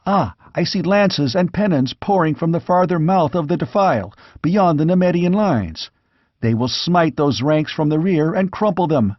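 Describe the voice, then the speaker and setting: A high, breathless young squire shouts out a battle as it unfolds, voiced by a man reading a storybook aloud. His frantic, urgent delivery stays well above the reader's own pitch. The recording is dry and close, with a dull, lo-fi tone. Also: expressive, normal speed, no echo. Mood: anguished.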